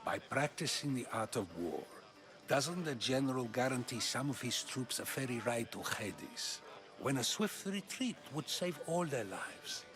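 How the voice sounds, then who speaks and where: Playful, probing Greek philosopher, lively delivery. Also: male voice, mid-range voice, a little slow. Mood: rhetorical, questioning. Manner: teasing, argumentative, questioning. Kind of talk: dramatic video game dialogue.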